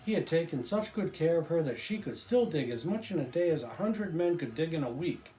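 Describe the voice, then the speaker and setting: An older man reading a children's storybook aloud, drawing out key phrases with deliberate emphasis. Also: on the high side, lively intonation, dark tone, roomy sound, poor audio. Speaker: storybook reader, grandfather.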